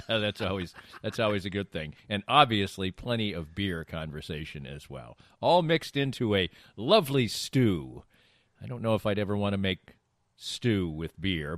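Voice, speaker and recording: A man's voice: lowish voice, fairly bright tone, no echo, studio quality. A man hosting a podcast, moving between casual chat and sponsor reads in a wry, easygoing conversational way. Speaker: podcast host.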